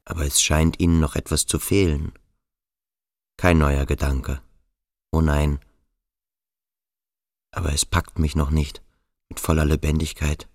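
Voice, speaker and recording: An actor in a German radio drama playing an intense young man who speaks in halting, dramatic fragments. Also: a bass voice, flat delivery, darkish tone, dry recording, studio quality. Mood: hesitant, searching. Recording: music behind the voice. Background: music with strings.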